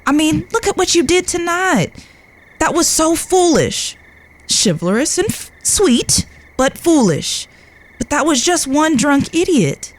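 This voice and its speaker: A woman's voice: high voice, big pitch swings, unhurried. A woman playing a genteel, tender and fretful Old West lady with proper, polite phrasing in a western audio drama. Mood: worried, affectionate.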